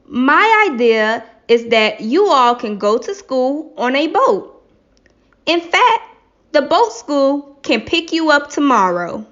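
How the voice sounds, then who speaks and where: A woman reading the part of a helpful, confident male architect in a classroom play, measured and clearly enunciated. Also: high voice, big pitch swings, a bit bright, full character voice. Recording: slightly dull lo-fi recording.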